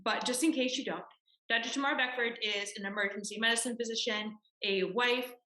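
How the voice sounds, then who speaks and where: Upbeat woman host, slightly high, bright voice, echoey room. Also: fairly even intonation, fairly quick. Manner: upbeat, enthusiastic introductions. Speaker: podcast host. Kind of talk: interview podcast.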